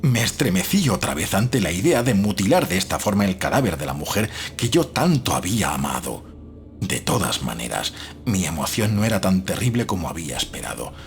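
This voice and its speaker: An actor playing a troubled, doubting man who narrates a gothic horror audiobook in a measured, literary and dramatic way. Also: sits low, big pitch swings, a little hiss or hum. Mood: horrified, reflective.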